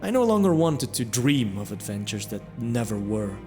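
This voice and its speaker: Man, medium pitch, reflective, scripted fantasy narration, first person, clear music bed. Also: big pitch swings, moderate pace. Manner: reflective storytelling.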